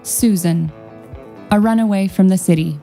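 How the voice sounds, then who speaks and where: A woman's voice: mid-range voice, moderate pace, vocal fry, dark tone. A woman reading scripted cast credits as a narrator. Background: guitar music.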